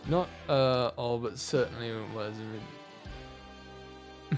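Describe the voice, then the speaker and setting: A man hosting a livestream, chatting casually with viewers in an animated, joking, sweary way. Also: mid-range voice, very slow, music in the background. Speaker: livestream host, voice actor. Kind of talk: casual chat with viewers, reading comments.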